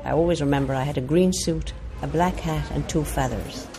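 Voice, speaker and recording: A woman's voice: low-pitched, brisk. An older woman from Ireland recalling her past in short, plain, wry sentences. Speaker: interviewee. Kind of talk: personal recollection. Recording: music bed under voice.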